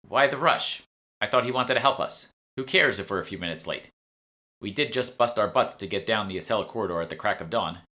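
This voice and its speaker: A man's voice: on the low side, a little fast, bright, forceful tone. A man voicing an exasperated, questioning fantasy protagonist in an audiobook. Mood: complaining. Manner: incredulous, complaining. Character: exasperated, questioning sidekick narrator of urban fantasy.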